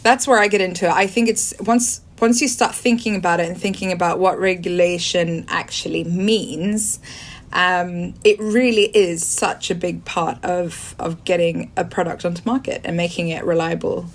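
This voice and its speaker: A woman in her forties from Sweden with a British accent, a podcast guest who answers at length with many pauses, ums and restarts. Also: fairly low pitch, fairly even intonation. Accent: British English. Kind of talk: conversational interview answers.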